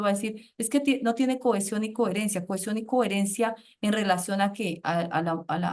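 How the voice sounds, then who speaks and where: Profesora colombiana que habla con animación. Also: female voice, medium pitch. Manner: animated, with frequent rhetorical tags and restarts. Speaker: university professor. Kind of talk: leading an online class discussion.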